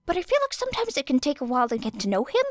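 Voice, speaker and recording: A woman's voice: sits high, huge pitch swings, racing, no room sound, clean studio sound, pitched above the performer's own voice. Woman voicing a flustered rambling teen boy. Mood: eager. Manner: eager, apologetic rambling, tripping over himself. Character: nervous rambling teenage boy hero student. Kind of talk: audiobook character voice.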